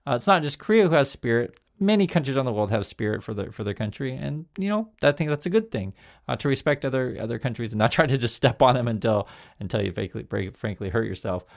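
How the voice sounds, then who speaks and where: Guy doing casual commentary, gravelly fry, keeps restarting words. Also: mid-range voice, animated, fast. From United States. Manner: chatty, restarts words, reads quotes aloud. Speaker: news commentary host.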